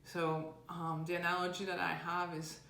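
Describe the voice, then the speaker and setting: A woman's voice: fairly low pitch, level tone, normal speed, creaky, fairly bright tone. Woman, relationship coach, earnest and plain-spoken, roomy echo with a faint hiss underneath. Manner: earnest, explains with homely analogies. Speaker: relationship coach answering viewer questions. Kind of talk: casual advice talk. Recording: roomy with faint background noise.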